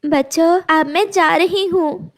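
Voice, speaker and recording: Lady doing a cartoon mama bird, really high voice, slow n tender, teaching her kids. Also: full character voice. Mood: solemn, urgent. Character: gentle, earnest mother bird teaching her young.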